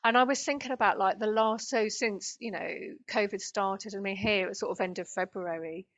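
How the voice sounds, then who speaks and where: A woman's voice: medium pitch, normal speed. Chatty British woman in her fifties. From Great Britain. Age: 50s. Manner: chatty, animated storytelling. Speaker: spiritual worker, guest. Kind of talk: casual conversational interview.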